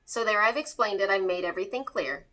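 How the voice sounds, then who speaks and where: A woman's voice: medium pitch, quick pace, bright, forceful tone, close to an everyday voice. A woman voicing a grumbling, wisecracking old man who bluffs his way through playing Santa in a storybook. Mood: blustering bluff. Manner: blustering, wisecracking.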